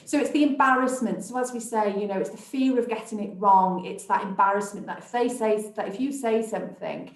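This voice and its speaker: A woman's voice: mid-range voice, a little fast, few pauses. A woman presenting a training webinar, talking in a reassuring, encouraging way. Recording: echoey room.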